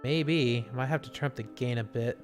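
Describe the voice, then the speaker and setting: A man's voice: medium pitch, fairly quick. A man streaming, chatting casually and rambling in a self-deprecating way. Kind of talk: casual live stream talk. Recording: music playing behind.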